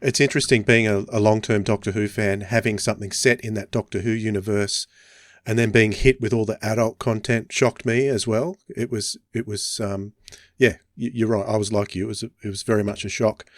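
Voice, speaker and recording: A man's voice: lowish voice, fairly even intonation, brisk, no room sound. Male podcast host, close dry sound. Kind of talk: conversational podcast discussion.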